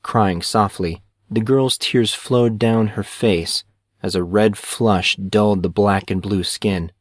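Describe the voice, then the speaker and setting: Male audiobook narrator, lowish pitch, scripted storytelling delivery, dry recording. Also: steady tone, slow pace, dry recording.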